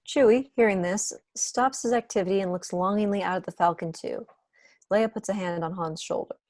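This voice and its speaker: A woman's voice: medium pitch, fairly quick, darkish tone, no echo, clean studio sound. A woman reading screenplay stage directions as scripted narration in an online table read. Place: indoors on a video call.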